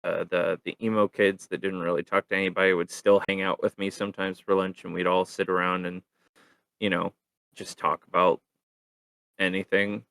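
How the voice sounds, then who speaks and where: A man's voice: low voice, level tone, normal speed, gravelly, a bit bright, no echo. A young man hosting a casual podcast, rambling with lots of ums and easy laughter. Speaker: podcast host.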